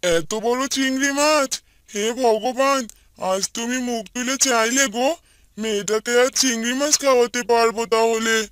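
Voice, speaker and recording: Woman doing a low-voiced poor fisherman dad. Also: moderate pace, fairly bright tone, dry recording, studio quality, lightly acted. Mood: astonished. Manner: pleading, pious, worried. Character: poor gentle middle-aged fisherman father.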